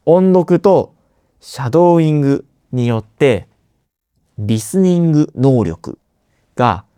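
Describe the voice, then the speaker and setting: A man hosting a slow podcast lesson for Japanese learners, explaining words in simple terms with playful asides. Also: mid-range voice, mellow, dry recording, studio quality. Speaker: Japanese language teacher.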